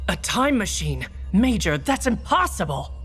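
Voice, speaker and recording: A woman's voice: medium pitch, big pitch swings, unhurried, breathy edge, faint music behind. A woman voicing a skeptical, questioning secret agent in a comic video game. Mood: incredulous. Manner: incredulous, questioning.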